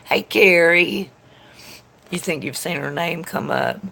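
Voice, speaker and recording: A woman's voice: fairly low pitch, slow, gravelly, pushed and bright. A woman, stuffed up from allergies, chatting casually with viewers on a tarot live stream. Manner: chatty, rambling, greets viewers by name. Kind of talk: casual live-stream chat reading comments aloud.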